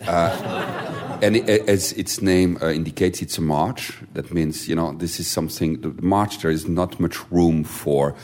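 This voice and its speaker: Man, very low voice, enthusiastic, hesitant phrasing, panel talk. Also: steady tone, unhurried, darkish tone. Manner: enthusiastic, searching for words. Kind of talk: panel discussion.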